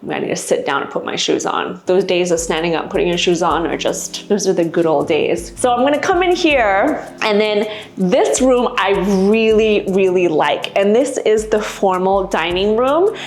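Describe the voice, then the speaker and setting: A woman's voice: mid-range voice, expressive, normal speed. A woman vlog host from Singapore giving a casual, chatty house tour indoors, explaining things conversationally to camera. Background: background music.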